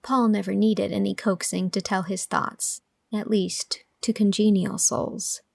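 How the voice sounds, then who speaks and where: A woman's voice: mid-range voice, level tone, a little slow, creaky, darkish tone, no room sound, studio quality. A woman reading scripted audiobook narration as the storyteller, voicing bits of dialogue along the way. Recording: music under the voice.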